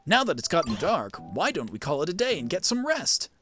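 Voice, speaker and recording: A performer as a wise elderly professor creature, video game dialogue, measured, formal, nostalgic, expressive pitch. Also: faint music behind. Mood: wise, solemn.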